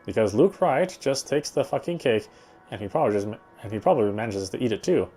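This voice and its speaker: A man reads a visual novel aloud while playing it. His voice sits at an average male pitch. Faint music runs behind his narration. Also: expressive, quick pace, dark tone, slightly rough. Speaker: let's player reading a game aloud.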